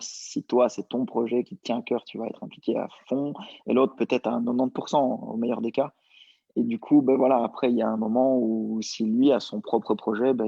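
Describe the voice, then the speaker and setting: A young man answering interview questions casually, relaxed and hesitant with many fillers. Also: mid-range voice, gravelly, breathy edge, no echo. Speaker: entrepreneur guest. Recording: dull lo-fi sound.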